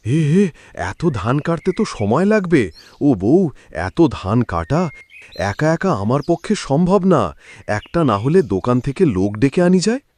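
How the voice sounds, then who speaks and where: Simple village farmer, agreeable and naive, lively cartoon pitch swings. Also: male voice, normal speed. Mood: unsure. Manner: naive, hesitant, theatrical. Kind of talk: cartoon moral-story voice acting.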